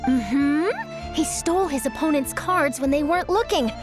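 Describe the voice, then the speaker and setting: A perky, high-voiced young reporter. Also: female voice, lively intonation, normal speed. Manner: perky, inquisitive. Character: young woman news reporter, nosy and eager. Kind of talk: game dialogue. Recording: game voice with clear music behind.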